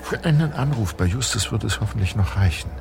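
A man reading scripted narration for an audio drama in a measured, suspenseful storytelling manner. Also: on the low side, expressive, gravelly, music in the background.

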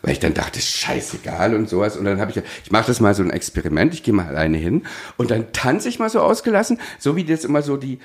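Halting, low-pitched male podcast storyteller. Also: very animated. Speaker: podcast host. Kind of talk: casual personal storytelling.

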